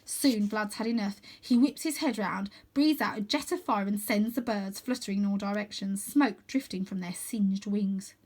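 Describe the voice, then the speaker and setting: A woman reading a children's adventure book aloud as storyteller, with expressive, dramatic emphasis. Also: mid-range voice, normal speed, few pauses.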